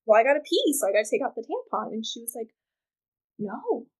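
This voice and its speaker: A woman with a fairly high voice who is a guest on a podcast. She is from Wyoming and tells frank, comic stories in an animated, candid way. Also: a little fast. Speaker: podcast guest, reproductive rights advocate. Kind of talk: casual chat, storytelling.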